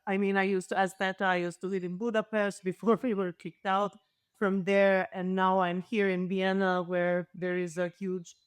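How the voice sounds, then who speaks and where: A woman researcher with a Balkan accent and Serbo-Croatian as her first language speaks on a panel. Her voice is clean and smooth at a middle pitch, recorded dry. She feels her way through her thoughts, restarting and correcting herself as she goes. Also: flat delivery, normal speed, no room sound. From former Yugoslavia. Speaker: panel guest, literature researcher. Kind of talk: reflective panel discussion.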